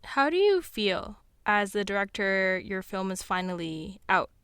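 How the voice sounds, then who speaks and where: A woman's voice: mid-range voice, very animated, a little slow, a bit bright, clear, resonant tone, no room sound. A woman co-hosting a podcast, moving between conversation and explanatory narration. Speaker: podcast co-host.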